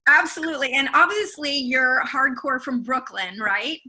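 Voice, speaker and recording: A woman's voice: high voice, normal speed, pushed and bright. A woman hosting an interview, enthusiastic, asking long, rambling questions. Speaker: interviewer, talent agent. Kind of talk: interview host.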